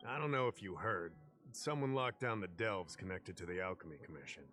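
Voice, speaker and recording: A man playing a courteous, knowledgeable fantasy official in a video game, explaining things in a measured way. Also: sits low, animated, bright, forceful tone, a little hiss or hum. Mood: patient, explanatory.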